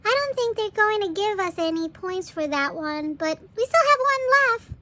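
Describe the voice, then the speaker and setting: Storybook role: sweet little girl played by woman narrator, very high and bright, earnest and encouraging. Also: expressive, normal speed, heavily acted. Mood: consoling. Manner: encouraging, gentle.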